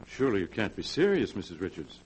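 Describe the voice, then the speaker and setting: A man's voice: sits low, big pitch swings, fast, darkish tone, husky, full character voice, poor audio. A man in 1940s radio acting, playing a fussy, stammering family attorney who defers to his client. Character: fussy, hesitant middle-aged family attorney.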